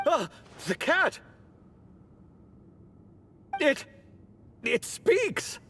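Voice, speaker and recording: A woman playing an earnest, excitable young adventurer in a video game, with a halting delivery. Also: high voice, creaky, a little hiss or hum, exaggerated voice. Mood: flustered. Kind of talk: video game character acting.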